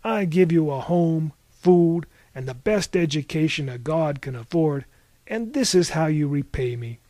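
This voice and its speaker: A man's voice: a little high, expressive, a little slow, mellow, no room sound. A man voicing an angry sea god in a storybook, an exasperated father roaring and ranting in reprimand. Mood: exasperated, scolding.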